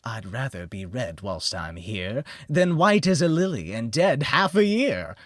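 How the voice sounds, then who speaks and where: A man's voice: medium pitch, big pitch swings, a little slow, no room sound, clean studio sound. A man voicing a tipsy, boisterous young man who declaims an eighteenth-century drinking verse in a rowdy lilt, as part of an audiobook story. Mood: rowdy, merry.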